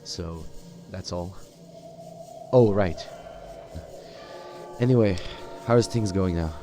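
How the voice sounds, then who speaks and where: Low-voiced, halting male gamer. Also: dark tone. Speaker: gamer role-playing a game character. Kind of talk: improvised in-character game dialogue. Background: game music.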